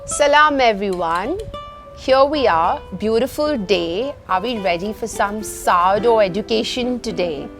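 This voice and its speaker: A woman's voice: medium pitch, lively intonation, a little slow, a bit bright. A woman hosting a talk show, with a playful, sing-song way of talking. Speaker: host. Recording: music playing behind.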